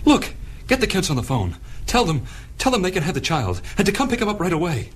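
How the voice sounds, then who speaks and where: An actor playing an officious, skeptical children's home doctor who flusters easily, in an old-fashioned audio comic. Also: male voice, high-pitched, wildly swooping pitch, quick pace, fairly bright tone, breathy edge, heavily acted. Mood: panicked.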